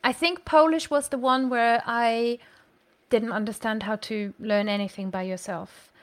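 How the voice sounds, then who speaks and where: A woman's voice: fairly high pitch, fairly even intonation, unhurried, no echo. A woman, chatting as a podcast guest and thinking aloud with frequent self-corrections. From Germany. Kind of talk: casual conversational storytelling.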